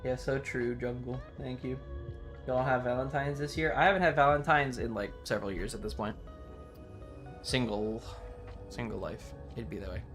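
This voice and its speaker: Male game streamer, mid-pitched, casual slangy banter with swearing, music underneath. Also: normal speed. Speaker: livestreamer.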